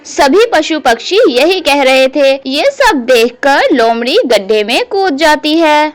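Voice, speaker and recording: A woman narrates a children's cartoon fable from a script. Her voice is very high and animated, and she takes her time. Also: takes it slowly.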